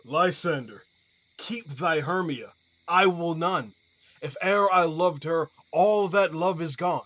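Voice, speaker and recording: An actor playing a proud, combative young lover in a Shakespearean audio drama, declaiming verse in short phrases. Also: male voice, fairly high pitch, slow, fairly bright tone. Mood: proud, dismissive.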